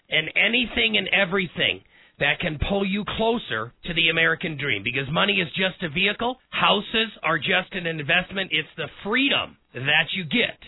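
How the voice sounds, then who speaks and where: A man hosting a radio talk show, hoarse and raspy today, speaking in a folksy way straight to his listeners. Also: sits high. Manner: folksy, direct address to listeners. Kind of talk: casual one-on-one radio talk. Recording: tinny phone-like sound.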